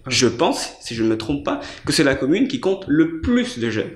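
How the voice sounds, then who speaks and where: A man's voice: mid-range voice, sing-song, moderate pace. A man and local election candidate, speaking earnestly and persuasively on a political panel. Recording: echoey studio with faint noise.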